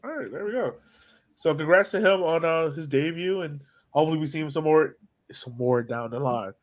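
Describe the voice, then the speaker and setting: A man's voice: on the high side, fairly quick. A man hosting a sports podcast in casual fan chat, thinking aloud a little hesitantly as he goes.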